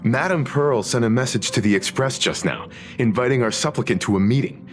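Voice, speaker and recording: An actor voicing a courteous, steady gentleman who speaks in a polite, measured and formal way. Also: medium pitch, lightly acted. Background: game music.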